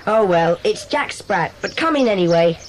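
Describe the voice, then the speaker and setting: Woman playing a lively, bossy schoolboy club leader. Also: mid-range voice, a little hiss or hum, lightly acted, poor audio. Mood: brisk, bossy. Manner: brisk, commanding, organising. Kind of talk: dramatised children's audiobook acting.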